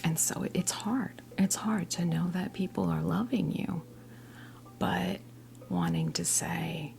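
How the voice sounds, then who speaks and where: Slow whispering woman with creaky voice. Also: on the low side. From New Jersey, United States. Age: 50s. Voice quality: breathy whisper mixed with soft creaky voice. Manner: slow, halting, pausing often, reassuring. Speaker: ASMR performer giving a tarot reading. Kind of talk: whispered ASMR role play, unscripted tarot reading. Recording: music playing behind the voice. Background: music.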